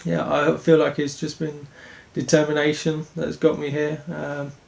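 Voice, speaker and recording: A young man from Denmark, a speedway rider answering interview questions in an earnest, halting way with many restarts. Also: fairly high pitch, completely flat delivery, creaky.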